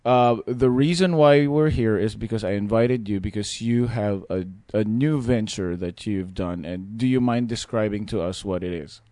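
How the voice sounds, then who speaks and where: A man's voice: fairly low pitch, normal speed, hardly any pauses, mellow, dry recording, clean studio sound. A man hosting a podcast interview in a casual, teasing, joking way. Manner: jokey, teasing. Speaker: podcast host.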